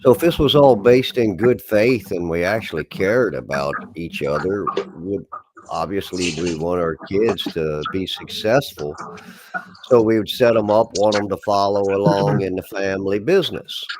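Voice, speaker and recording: A man's voice: deep voice, normal speed, noisy background. A man who is a guest on a livestream panel, speaking in a drawling, preachy way. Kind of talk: casual livestream chat.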